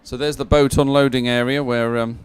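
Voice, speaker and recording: A man working as a live sports commentator and presenter, announcing results and schedules in an unscripted, halting way. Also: medium pitch, flat delivery, clear, resonant tone. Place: open-air commentary position.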